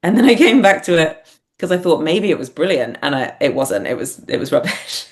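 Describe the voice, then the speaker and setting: A woman's voice: low voice, sing-song, normal speed, darkish tone. A woman talking casually and reflectively as an interview guest, hesitant and self-deprecating as she thinks aloud. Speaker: podcast guest, writer and performer.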